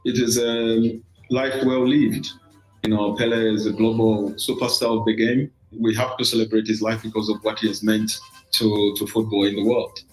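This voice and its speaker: A man from Zambia answers a television interviewer, feeling his way through his sentences with frequent pauses and restarts. His low voice stays flat and even. The clip sounds roomy and echoey, with faint music underneath. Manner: halting, with many fillers and restarts. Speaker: former football captain interviewed on TV.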